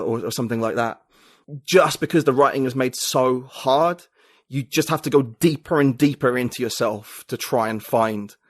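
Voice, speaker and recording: A British man, a writer and podcast guest, talking in a reflective, halting way with many restarts. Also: medium pitch, no echo, clean studio sound. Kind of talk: casual conversation.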